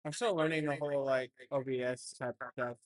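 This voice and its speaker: A man's voice: mid-range voice, fairly even intonation, fairly quick, no echo, studio quality. Hesitant young man fumbling with stream setup. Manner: hesitant, rambling, full of fillers and restarts. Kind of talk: casual chat while setting up a stream.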